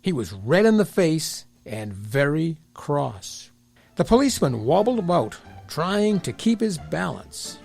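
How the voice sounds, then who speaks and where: A man's voice: on the high side, very animated, measured pace, vocal fry, a little mellow, faint music behind. A man reading a scripted children's story as the narrator.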